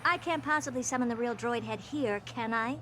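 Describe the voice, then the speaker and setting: A high-pitched, haughty young female genius from a video game, acted by a woman. She is blunt, dry and sure of herself, with clear noise behind the voice. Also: a bit bright, natural voice. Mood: smug, exasperated. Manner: dry, self-assured, brisk sarcasm.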